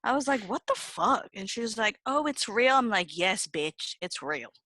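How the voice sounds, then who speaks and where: A woman's voice: medium pitch, sing-song, normal speed, sharp tone, no room sound. A woman in a casual group chat, telling stories in a slangy, sweary, exclamatory way. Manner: slangy, profane, exclamatory storytelling. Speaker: podcast co-host.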